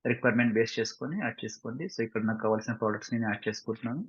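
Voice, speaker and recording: A man with a Telugu Indian accent giving a step-by-step software tutorial, mixing in English and often checking understanding with short tag questions. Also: mid-range voice, flat delivery, racing, husky. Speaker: software instructor. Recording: muffled lo-fi mic, roomy echo.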